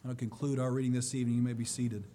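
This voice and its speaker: A man preaching a sermon and reading scripture, slightly hoarse, with a deliberate, emphatic delivery. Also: mid-range voice, little pitch movement, mellow, slight background noise.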